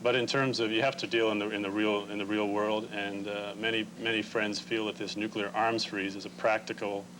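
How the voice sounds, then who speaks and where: Male interview guest, American, lower-pitched, measured explainer; clearly noisy, humming recording. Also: little pitch movement. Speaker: Quaker relief organization representative, interview guest.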